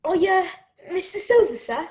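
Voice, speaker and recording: A woman's voice: very high voice, animated, normal speed, darkish tone. A woman playing an earnest young cabin boy who narrates his adventure in a radio play. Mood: earnest, wondering. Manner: earnest, storytelling.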